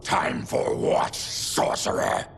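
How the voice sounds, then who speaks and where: A man playing a fierce but honorable monstrous warrior in a video game, with a growling, gravelly voice and a blunt manner. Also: takes it slowly, noisy background, lightly acted. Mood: suspicious. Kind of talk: video game cutscene acting. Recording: loud music behind the voice.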